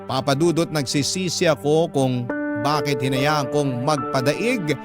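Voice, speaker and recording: A male radio storyteller reads a scripted first-person confession. His voice sits a little high and sounds dramatic. Music plays clearly underneath. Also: measured pace.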